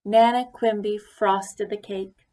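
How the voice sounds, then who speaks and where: A woman reading a children's story aloud expressively, deliberately, with pauses for emphasis. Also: medium pitch, fairly even intonation, warm tone. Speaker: story reader. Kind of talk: expressive picture-book read-aloud.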